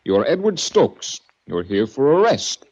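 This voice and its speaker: An actor playing a smug, mock-courteous male villain running a sanitarium, with smooth menace, in a 1940s radio drama. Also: medium pitch, very animated, unhurried, darkish tone. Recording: muffled 1940s radio recording.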